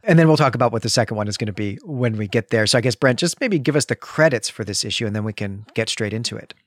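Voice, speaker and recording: A man with a slightly high voice hosts a conversational podcast. He explains things clearly for listeners in an informal, discursive way, and the recording is dry with very little room sound. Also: animated, fast, no room sound. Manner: explanatory, addresses co-host and listeners. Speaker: podcast host.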